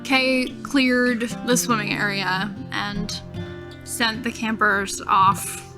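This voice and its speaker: A woman's voice: medium pitch, steady tone, slow pace, music in the background. A woman casually narrating her character's actions as a player in a tabletop roleplaying game.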